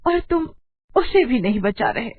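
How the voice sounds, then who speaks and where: A woman voicing an excitable, sharp-tongued village wife in a dramatized story, exclaiming dramatically. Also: fairly high pitch, a little slow, dry recording. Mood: scolding. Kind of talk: dramatized Hindi moral story acting. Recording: dull lo-fi audio with background noise.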